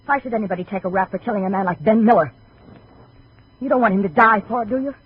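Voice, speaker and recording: A woman's voice: mid-range voice, fast talker, husky. An American actress playing a desperate, pleading, stubborn young woman in a 1950s radio drama. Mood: agitated, defensive. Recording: muffled, phone-like old radio recording.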